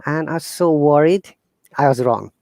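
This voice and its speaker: Bangladeshi-accented man, earnest and halting. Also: fairly high pitch, vocal fry, no echo. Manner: earnest, animated, halting with fillers and restarts. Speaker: guest teacher. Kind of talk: casual interview talk.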